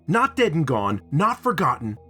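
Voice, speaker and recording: A man reviewing a video game in scripted narration, dramatic and playful, with emphatic phrasing and drawn-out words. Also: a little high, wildly swooping pitch, sharp tone, breathy edge, soft background music.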